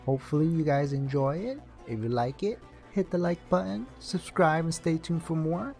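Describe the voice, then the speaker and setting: A woman's voice: low voice, normal speed, a little mellow, soft background music. A woman presenting a showcase with casual, informal narration. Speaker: video presenter.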